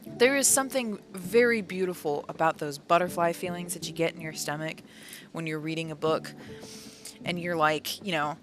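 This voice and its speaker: A woman's voice: on the low side, moderate pace, music in the background. A woman in her thirties chatting casually on a live stream, rambling and laughing at herself as she goes. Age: 30s. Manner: rambling, self-amused, laughs often.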